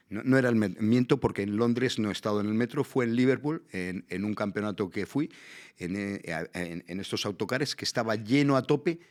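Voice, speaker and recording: A middle-aged man from Latin America hosting a podcast, chatty and enthusiastic, breaking in with his own stories. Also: lowish voice. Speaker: podcast host. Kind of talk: casual interview talk.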